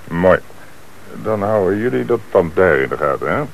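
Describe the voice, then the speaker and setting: A very low male voice as a police commissioner in a radio play. He is calm, authoritative and businesslike, on an old recording with faint noise. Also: moderate pace, gravelly. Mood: calm authority. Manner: businesslike questioning and orders.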